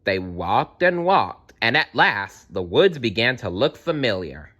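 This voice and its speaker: A man's voice: mid-range voice, measured pace, dull audio. A man reading a fairy tale aloud expressively, playfully adding his own reactions. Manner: animated, playful, reacts to the story.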